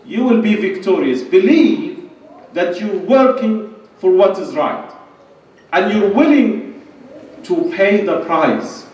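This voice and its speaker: A man's voice: high voice, lively intonation, slow pace, pauses often, darkish tone. A middle-aged man giving an impassioned, emphatic religious and political speech in short repeated phrases. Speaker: conference speaker. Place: large indoor lecture hall at a podium. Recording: echoey hall, distant microphone.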